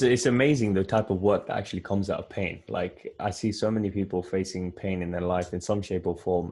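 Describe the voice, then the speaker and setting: A man's voice: sits low, darkish tone. A man hosting a podcast, interviewing in a conversational style. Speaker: podcast host.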